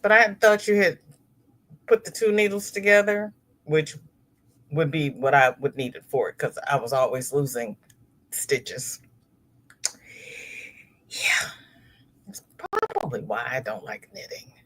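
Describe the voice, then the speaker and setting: A woman's voice: low-pitched, a bit bright, low-quality recording. A middle-aged woman hosting a casual crochet livestream, reading viewer comments aloud and greeting people by name.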